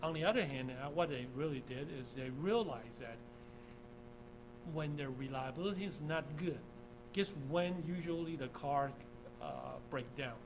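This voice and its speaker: A man from Taiwan giving an informal engineering lecture over slides, often checking in with "okay?" and filling pauses with "uh". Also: a little high. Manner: informal, repeats "okay?", many "uh" fillers. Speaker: engineering professor. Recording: steady background hum.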